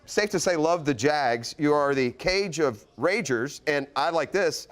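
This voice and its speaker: A man's voice: on the high side, animated, unhurried, faint music behind. A man hosting a game show with upbeat banter.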